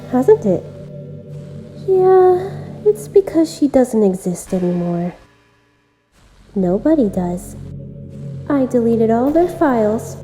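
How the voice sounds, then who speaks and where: A woman voicing a lonely, obsessive, self-aware visual novel game girl who speaks gently and intimately, straight to the listener, in an amateur voice-acted monologue. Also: a little high, low-quality recording. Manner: gentle, deliberate, intimate direct address.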